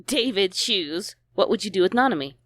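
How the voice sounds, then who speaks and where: Female stream sidekick, casual and jokey, highly animated delivery, no room sound. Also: normal speed, no echo. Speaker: stream co-host. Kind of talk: casual joking commentary.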